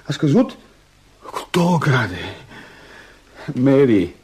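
A man's voice: medium pitch, very animated, darkish tone, husky. An actor playing a passionate, devoted male doctor in an emotional, dramatic Romanian radio play. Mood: overwhelmed relief. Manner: emotional, dramatic, swinging from murmurs to cries. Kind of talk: Romanian radio theatre acting.

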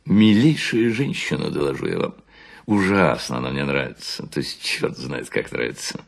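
A man's voice: extremely low pitch, big pitch swings, moderate pace, gravelly, full character voice. An actor playing a worn-out balding seducer, suavely lecturing on his sly craft in a theatrical literary monologue, savoring words and humming between them. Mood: effusive flattery.

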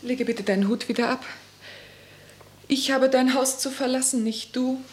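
An actress playing a proud, sharp-tongued, mocking young wife in a radio drama, with a theatrical, taunting delivery. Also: female voice, sits high, fairly even intonation, normal speed, natural voice. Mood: reproachful.

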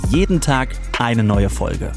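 A man's voice: medium pitch, sing-song, takes it slowly. A man hosting a podcast, presenting a scripted, upbeat promo. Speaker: book podcast host. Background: prominent music.

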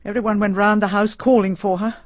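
An actress playing a chatty, easily alarmed middle-aged crime novelist in a radio drama. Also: female voice, medium pitch, flat delivery, fairly quick, dry recording, natural voice. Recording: dull archive radio recording.

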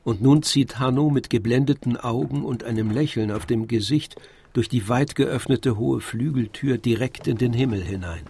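A man narrating a literary radio drama from a script in a measured, formal storytelling manner. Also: mid-range voice, a little mellow, breathy edge. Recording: faint music behind the narration. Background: faint music.

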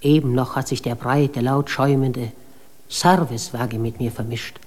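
An actress playing a proud, wild river spirit, reciting a lyrical, solemn monologue in a poetic radio play. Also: female voice, extremely low pitch, steady tone, a little slow, darkish tone. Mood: solemn, flowing. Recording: noisy recording with ambient sound.

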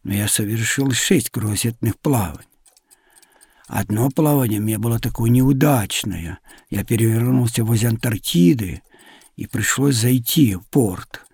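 Middle-aged man, very husky, creaky, mellow, dry close sound, conversational storyteller, simple loose phrasing. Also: mid-range voice, moderate pace, dry recording. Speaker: sailor and traveller guest.

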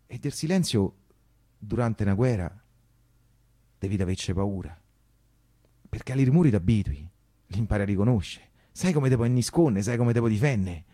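A man's voice: on the low side, animated, moderate pace, long pauses, mellow. An actor playing an anguished working-class Roman man who recounts wartime life in a theatrical, colloquial monologue full of insistent repetition. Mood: hushed, ominous. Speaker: stage storyteller and actor. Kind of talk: theatrical first-person monologue for radio theatre.

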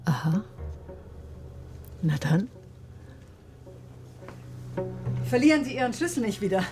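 Radio drama: sharp-tongued female doctor, played by an actress, controlled, defensive, ordinary mid pitch. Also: big pitch swings, normal speed, faint music behind, close to an everyday voice. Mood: wary, polite. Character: bitter, sharp-tongued woman doctor recounting her ordeal. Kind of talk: radio drama acting, confessional narration and dialogue.

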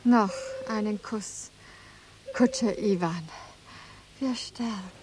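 A woman's voice: mid-range voice, takes it slowly, natural voice. An actress playing a tender woman saying farewell to her love, plaintive and intimate, in a radio drama. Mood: tender, fearful. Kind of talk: German radio drama acting. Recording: clear background noise.

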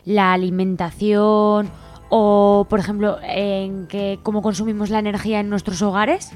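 A woman speaks Spanish with an accent from Spain at an average pitch. She leads a radio interview with conversational questions. There is light music in the background. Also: level tone, a little slow, darkish tone.

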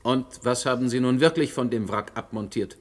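An actor playing a stern, dogged presiding judge in a radio play, questioning formally and with authority. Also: male voice, mid-range voice, fairly quick, lightly acted. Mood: probing, stern. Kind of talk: German radio play acting. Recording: older radio recording with background noise.

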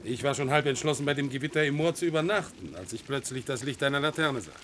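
An actor playing a smooth-talking gentleman stranger in a radio play, condescending and wheedling as he offers bribes. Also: mid-range voice, quick pace, a little hiss or hum, lightly acted. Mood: wheedling, sly. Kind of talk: German radio play acting.

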